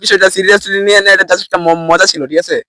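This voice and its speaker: A man's voice: sits high, little pitch movement, fast, pushed and bright, no room sound. A man with a Bangladeshi accent doing casual prank-call banter as host, teasing and often repeating or stumbling over his words.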